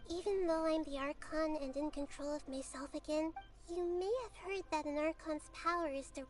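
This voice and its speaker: A woman voicing a gentle, wise little girl deity in a video game, speaking in a calm, measured way. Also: sits high, natural voice. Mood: calm, earnest. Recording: game dialogue with music behind.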